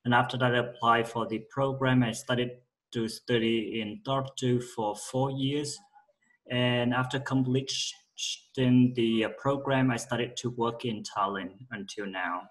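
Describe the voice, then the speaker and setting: A man from Vietnam answering interview questions, mid-pitched and unhurried. His phrasing is plain and a little halting, and he restarts sentences as he searches for the right words. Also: fairly even intonation, a bit bright, low-quality recording. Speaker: interviewee, working in Tallinn after a doctorate.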